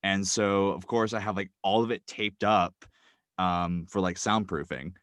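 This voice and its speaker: A man's voice: sits low, fairly even intonation, measured pace, a bit bright, no room sound. A man talking as a guest in a casual chat, rambling with lots of ums and likes, recording in a small closet with a blanket-wrapped mic. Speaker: podcast guest. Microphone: microphone wrapped in a blanket. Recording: dry, deadened closet sound.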